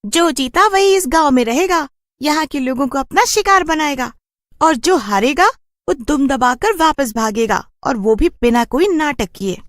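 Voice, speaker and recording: A cranky village witch from a horror cartoon. Her voice sits very high and swings about as she scolds indignantly. She guards her territory fiercely. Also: expressive, unhurried, no echo, clean studio sound. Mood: challenging.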